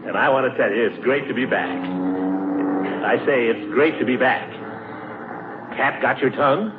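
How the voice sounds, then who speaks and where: An actor playing a pompous, jovial middle-aged uncle, a hearty teasing blowhard in 1940s radio comedy style. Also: male voice, medium pitch, moderate pace, lightly acted. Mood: hearty, smug. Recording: noisy, muffled 1940s radio broadcast.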